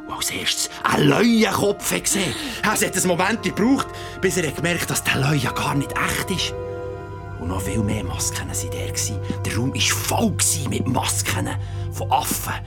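A man's voice: fairly high pitch, very animated, moderate pace, vocal fry. A man with a Swiss German accent playing a father who tells his child a creepy bedtime story, theatrical and suspenseful. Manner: theatrical, suspenseful, sometimes whispering. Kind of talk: audio drama storytelling. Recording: music behind the voice. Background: music.

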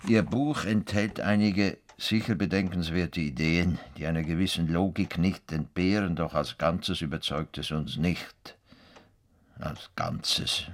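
Deep-voiced, indignant farmer. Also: male voice, fairly bright tone. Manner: grumbling, scornful, self-important. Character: stubborn, indignant male farmer and self-styled world-improver. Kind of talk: radio play acting in Swiss-coloured German.

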